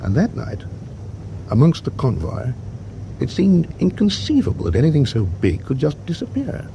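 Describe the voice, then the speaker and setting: Mellow British warship captain, animated delivery. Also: male voice, fairly high pitch, moderate pace, vocal fry, slight background noise, heavily acted. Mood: grave, haunted. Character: weary, reflective male British warship captain, kindly to officers. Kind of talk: naturalistic wartime radio drama acting.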